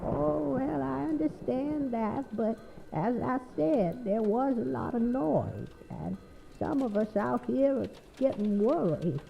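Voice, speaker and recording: Horror audio drama: actress as folksy, insistent female neighbor, unhurried and creaky, quietly creepy. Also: fairly high pitch, sing-song, over music, exaggerated voice. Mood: coaxing. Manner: syrupy, coaxing, folksy. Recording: dull muffled sound with faint noise.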